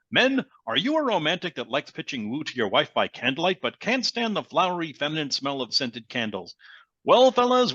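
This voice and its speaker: An actor playing a brash, manly sponsor announcer, reading a mock-earnest vintage radio commercial with punchy salesmanship. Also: male voice, a little high, pushed and bright, breathy edge, no room sound, highs cut off, heavily acted. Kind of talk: parody 1940s radio commercial read.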